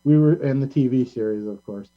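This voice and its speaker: A man's voice: mid-range voice, brisk. A man hosting a casual interview, chatting and asking questions in a conversational way. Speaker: interviewer and show host. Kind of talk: casual interview chat about movies. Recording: muffled lo-fi sound with mains hum.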